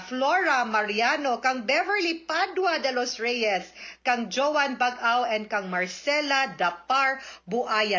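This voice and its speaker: A Cebuano woman lawyer hosts a radio advice program in a chatty manner, dropping English phrases into her talk. Her voice is bright and sits a bit high. The recording is slightly muffled, like an old broadcast. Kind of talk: radio call-in advice hosting.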